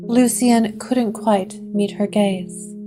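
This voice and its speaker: Slow, measured woman narrating a gothic tale. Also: mid-range voice, fairly even intonation. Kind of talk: scripted gothic audio drama narration. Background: guitar music.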